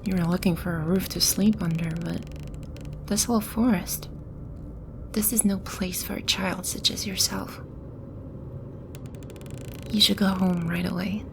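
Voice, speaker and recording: A soft, breathy female demon, acted by a woman, speaks slowly and soothingly, with a gravelly creak and whispery passages. She is a gentle protector in an ASMR roleplay, with noticeable ambience behind the voice. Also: fairly low pitch, fairly even intonation, background noise. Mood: concerned, guarded. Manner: soft, unhurried, soothing reassurance. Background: ambient sound effects.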